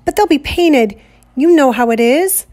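A woman's voice: high voice, animated, unhurried, a little mellow. A woman voicing a worried young boy, a twin, who speaks in an uneasy, warning way.

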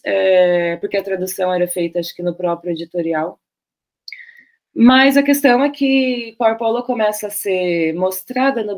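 Brief: Brazilian woman presenting research from home over a webcam, unhurried, hesitant and searching for words. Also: mid-range voice. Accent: Brazilian Portuguese. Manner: loose, searching delivery with many fillers and restarts. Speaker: guest speaker. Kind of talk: academic talk over slides. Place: home room, on a video call.

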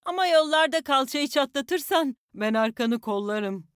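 Casting: young female game fighter and healer, high pitch, plucky, folksy, confident, very dry studio sound. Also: animated, measured pace, dry recording. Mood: warm, cocky. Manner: folksy, confident, spirited team talk. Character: spirited tough young Australian woman fighter, nature-loving healer. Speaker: game character voice actor. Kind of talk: video game voice lines, short battle call-outs.

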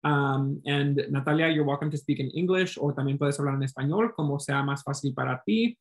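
A man hosting a virtual artist talk, gently thanking and introducing the artists on a video call. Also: fairly high pitch, a little fast. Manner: gentle, encouraging, thanks guests. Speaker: event host.

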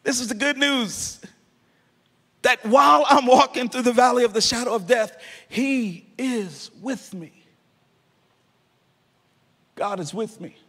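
A man's voice: extremely high pitch, slow, long pauses, sharp tone, slightly rough. A man in his thirties preaching a sermon from a church stage into a handheld mic, rising into half-sung, impassioned peaks. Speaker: pastor. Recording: long hall reverb.

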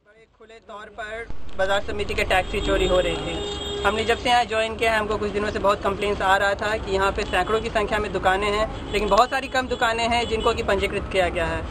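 A man's voice: sits high, fairly even intonation, quick pace. A man in his thirties, a government official, questioning shopkeepers sternly in an outdoor market and then making a statement. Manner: stern, firm interrogating tone. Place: outdoors on a busy market street. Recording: loud street noise.

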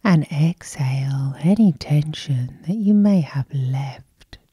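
A woman leads a sleep hypnosis session in a very dark, mellow voice. It is extremely breathy, close to a whisper, and very slow. Her delivery is soft and lulling, in the manner of ASMR. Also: low-pitched, expressive, no room sound.

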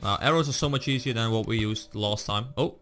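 A man's voice: mid-range voice, brisk, fairly bright tone. A man hosting a gaming video, chatting casually to viewers and his co-op partner as he plays. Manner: chatty, addresses viewers while playing. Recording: music playing behind the voice.

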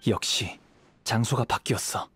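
Calm, husky young swordsman. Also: medium pitch, a little slow. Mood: composed. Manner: composed, gentle, reflective. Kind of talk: video game voice acting.

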